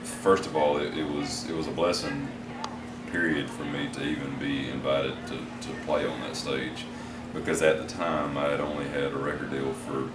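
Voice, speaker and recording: A man, a country singer, giving measured, hesitant interview answers backstage at a concert venue with frequent fillers. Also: very deep voice, flat delivery, over loud music. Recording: echoey, distant mic, loud background noise.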